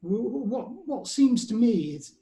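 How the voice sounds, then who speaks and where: A man's voice: very high voice, expressive, a little slow, creaky, mellow. A man hosting a podcast, asking questions in a rambling way and stumbling over his phrasing. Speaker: podcast host.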